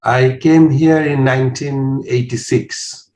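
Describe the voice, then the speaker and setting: A man in his sixties from Bangladesh, answering interview questions in a measured, hesitant way with frequent pauses. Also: medium pitch, fairly even intonation, darkish tone. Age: 60s. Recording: roomy echo.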